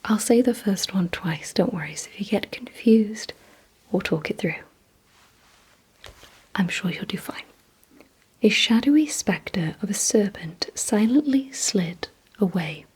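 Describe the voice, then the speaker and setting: A woman whispering in an ASMR roleplay, giving gentle instructions in a breathy, mostly voiceless hush with deliberate pauses. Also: mid-range voice, lively intonation. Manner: slow, deliberate, hushed delivery with short pauses. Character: calm, softly commanding female vampire examiner. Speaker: ASMR performer.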